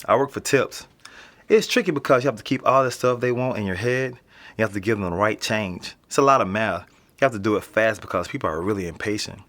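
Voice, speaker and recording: A man's voice: lowish voice, brisk, fairly bright tone, close to an everyday voice. A young man with an American accent playing a casual, slangy, streetwise teenage boy being interviewed in a naturalistic audio drama. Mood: nonchalant. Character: nonchalant streetwise teenage boy living in an apartment building.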